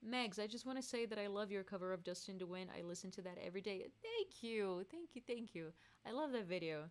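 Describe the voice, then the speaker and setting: A woman's voice: mid-range voice, lively intonation, fast talker. Playful woman chatting on a live stream. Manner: playful, laughs often, reads chat aloud. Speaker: singer hosting a live stream. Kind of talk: casual live-stream chat.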